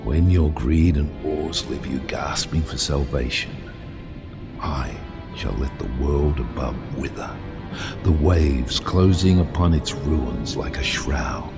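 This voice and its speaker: An actor playing a bitter, vengeful sea captain who condemns mankind in grand, theatrical audio drama speeches. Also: male voice, rumbling low, loud music behind the voice, heavily acted. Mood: grim doom. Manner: grand, theatrical, deliberate oratory dropping to hushed intensity.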